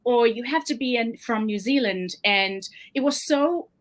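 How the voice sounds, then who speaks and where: A woman's voice: on the high side, a little slow. A woman with a South African accent, a guest author sharing personal experiences in a casual interview, earnest and given to laughing as she talks.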